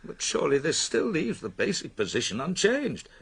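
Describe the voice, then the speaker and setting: Man playing a husky, animated, doubting secretary. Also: fairly high pitch, normal speed, full character voice. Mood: puzzled, reasoning. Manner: questioning, polite, reasoning aloud. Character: skeptical, well-mannered male private secretary. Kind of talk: radio mystery drama acting.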